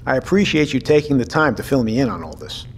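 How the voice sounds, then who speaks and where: Audio drama captain male actor: middle-aged, courteous leader, mid-pitched with lively intonation, long room reverb. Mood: cordial. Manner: measured, thoughtful. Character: measured, courteous male captain and father leading a settlement. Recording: reverberant room with faint noise.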